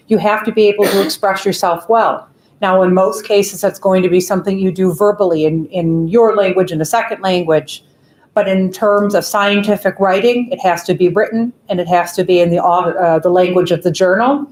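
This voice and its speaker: A woman of middle pitch speaking as a panelist, patient and explanatory. Her voice rings in a reverberant auditorium. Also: a little mellow. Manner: measured, explanatory. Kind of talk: answering an audience question on a panel. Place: large auditorium. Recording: echoey auditorium.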